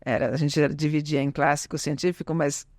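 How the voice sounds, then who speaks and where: A woman's voice: deep voice, level tone, quick pace, no room sound. An Italian-born woman whose first language is Italian, talking in a chatty way as an interview guest, with frequent tag questions and restarts. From Italy. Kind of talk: casual conversational interview.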